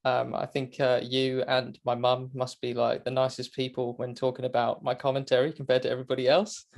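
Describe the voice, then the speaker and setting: A man's voice: medium pitch, monotone, brisk, vocal fry. British male, twenties, mid-range voice, talkative podcast guest with a casual, self-effacing delivery. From Great Britain. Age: 20s. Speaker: guest, motorcycle racing commentator. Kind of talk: casual chat.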